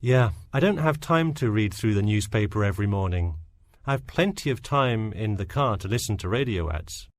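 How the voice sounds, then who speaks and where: A man's voice: fairly low pitch, animated, moderate pace, no room sound, lightly acted. Man acting an agreeable job applicant, dry studio sound. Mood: eager. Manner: agreeable, casual, cooperative. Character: eager, agreeable male lifeguard job applicant. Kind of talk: scripted listening-test dialogue.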